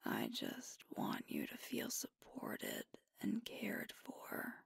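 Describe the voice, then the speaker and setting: A woman voicing a sweet, devoted werewolf girlfriend in an ASMR roleplay, whispering tenderly and unhurriedly with a breathy voice close to the mic. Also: dry recording, lightly acted. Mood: worried, earnest. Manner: tender, earnest, soothing, unhurried. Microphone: close microphone, dry.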